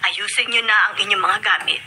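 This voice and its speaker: A woman playing a weary, self-sacrificing older housekeeper in a Filipino radio drama, speaking gravely. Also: mid-range voice, brisk, natural voice. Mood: resigned urgency. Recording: noisy radio drama recording.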